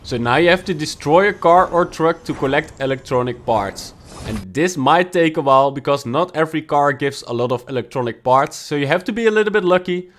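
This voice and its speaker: A man's voice: on the high side, animated, fairly quick, fairly bright tone, a little hiss or hum. A man hosting a gaming guide and explaining the steps of a walkthrough in a matter-of-fact way. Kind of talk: game walkthrough narration.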